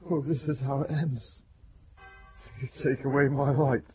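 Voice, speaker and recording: A man performs a condemned prisoner in a radio drama, frightened and fighting for composure. He speaks slowly and haltingly, repeating himself, in slightly muffled sound with faint music behind. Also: medium pitch, level tone, warm tone, lightly acted. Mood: dread, resolve. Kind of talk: broadcast radio drama acting.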